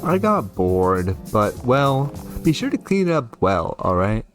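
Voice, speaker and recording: A man voicing a witch's innocent young child in a horror game, with a childlike, drawn-out manner. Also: mid-range voice, very animated, soft background music. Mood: innocent, curious.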